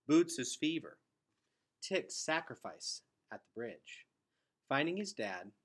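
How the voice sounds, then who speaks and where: A man reading a children's novel aloud in an expressive storytelling style. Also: medium pitch. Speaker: read-aloud reader.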